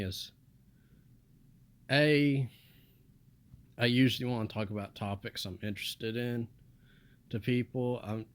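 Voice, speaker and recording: A young man from the United States rambling in a flat, monotone voice with mumbled asides. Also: lowish voice. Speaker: vlogger. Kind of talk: casual rambling monologue.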